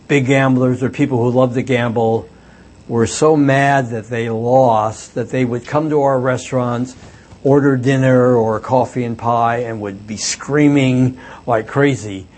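An older man talks at a mid pitch, telling stories from his own past with long pauses and plenty of ums. He reflects as he goes and often stops to restart a thought. It is a book talk with questions from the audience. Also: a little mellow. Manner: reflective, hesitant storytelling with many fillers. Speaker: author and former reporter.

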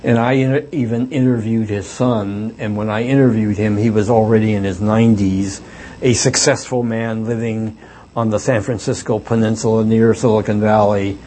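A man's voice: on the low side, steady tone, moderate pace, warm tone, slight background noise. Hesitant older man telling stories. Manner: reflective, hesitant storytelling with many fillers. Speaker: author and former reporter. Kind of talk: book talk with audience questions.

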